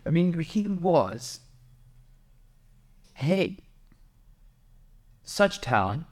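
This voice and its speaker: A man's voice: a little high, very animated, drawn-out words, darkish tone. A man hosting a podcast interview, chatting casually and prompting his guest with questions. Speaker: podcast host.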